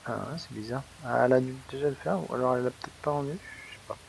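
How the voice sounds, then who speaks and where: A man talks over his gameplay in a relaxed, meandering way, stopping and restarting his sentences often. His voice has a gravelly, creaky edge at a middling pitch, with a faint hiss of noise underneath. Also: quick pace. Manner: rambling, hesitant, full of fillers and restarts. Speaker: let's play host. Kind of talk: casual gameplay commentary.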